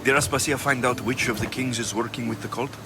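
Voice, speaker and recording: A man's voice: mid-range voice, fairly even intonation, fast talker, close to an everyday voice. A man playing a determined young Greek warrior and ship captain in a video game, terse and grave. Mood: businesslike. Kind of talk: dramatic video game cutscene acting. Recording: very loud music over the voice.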